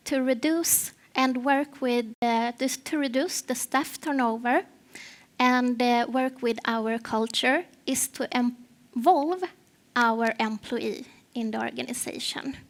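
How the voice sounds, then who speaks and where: Woman, Swedish accent, high voice, slow and hesitant, conference talk. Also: fairly bright tone. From Sweden. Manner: hesitant, searching for words in a second language. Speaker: conference speaker, HR partner. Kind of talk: conference presentation.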